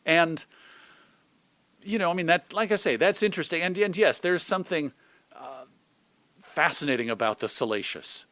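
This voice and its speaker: A middle-aged American man talking as an interview guest in an animated, thoughtful, digressive conversational way. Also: a little high, moderate pace, long pauses, dry recording. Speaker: interview guest, magazine publisher and radio host.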